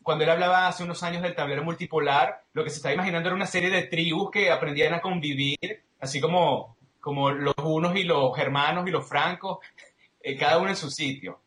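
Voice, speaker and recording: A man's voice: fairly high pitch, steady tone, fairly quick, fairly bright tone, roomy sound. A man with a Venezuelan Spanish accent giving analytical, explanatory answers as an interview guest, reasoning step by step. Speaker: interview guest, political commentator.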